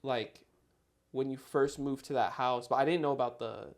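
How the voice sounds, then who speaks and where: A man of middle pitch hosts a casual podcast conversation. He listens closely and reacts with frequent short interjections before offering his own thoughts. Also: fairly even intonation, normal speed. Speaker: podcast host. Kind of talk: casual chat. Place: podcast studio.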